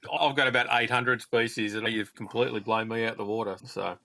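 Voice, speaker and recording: A man's voice: mid-range voice, steady tone, fairly quick, vocal fry, sharp tone, slight background noise. A man co-hosting a podcast, asking long rambling questions in a casual way. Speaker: podcast co-host.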